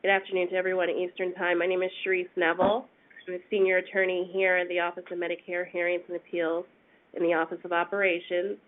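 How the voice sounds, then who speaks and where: A congested woman, a senior attorney, explaining a program in a webinar in a measured way with frequent pauses. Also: lowish voice, flat delivery. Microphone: phone conference line. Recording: tinny phone line.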